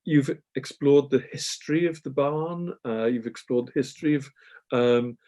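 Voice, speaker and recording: A man interviewing an author, asking long, measured questions with occasional ums. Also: medium pitch, dark tone.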